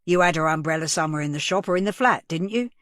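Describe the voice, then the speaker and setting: A woman's voice: sits low, normal speed, no room sound, studio quality, lightly acted. A woman voicing a male police sergeant who questions a suspect in a pressing, accusing way.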